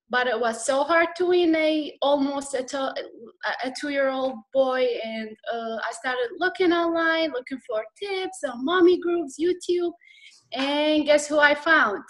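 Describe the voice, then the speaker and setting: A woman's voice: sits high, a bit bright, rough recording. A woman with an Iraqi accent telling her personal story as a guest on a video call, hesitant and slightly nervous, with many fillers. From Iraq.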